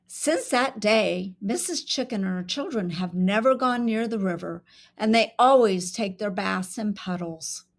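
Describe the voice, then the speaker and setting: Female storybook reader for young children; lively, varied pitch with some gravel, expressive and engaging delivery. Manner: expressive, pauses to ask questions. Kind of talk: interactive children's storybook read-aloud.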